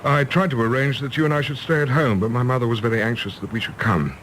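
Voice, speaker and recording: An actor playing a proud, embittered English career diplomat, formal, clipped and argumentative, in a period radio drama. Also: male voice, mid-range voice, quick pace, fairly bright tone, a little hiss or hum, close to an everyday voice, rough recording. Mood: guarded. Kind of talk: period stage-play radio drama acting.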